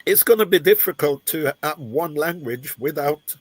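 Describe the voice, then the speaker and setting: Jamaican-born male panelist, husky voice, dry close sound, halting delivery. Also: on the high side, lively intonation, no echo. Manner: hesitant, searching for words with restarts. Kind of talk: panel discussion.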